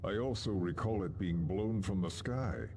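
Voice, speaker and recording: A man's voice: low-pitched, moderate pace, a little mellow, a little hiss or hum. An actor playing a hostile, distrustful space marine veteran in a sci-fi game, a man speaking with accusing scorn. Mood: scornful. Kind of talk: dramatic sci-fi game acting.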